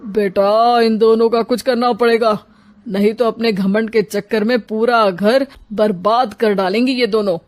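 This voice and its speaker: A woman's voice: a little high, steady tone, measured pace, a little mellow, lightly acted. A woman voicing a concerned, lamenting older father in a family comedy cartoon. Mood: worried, lamenting. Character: weary, concerned older father, head of household.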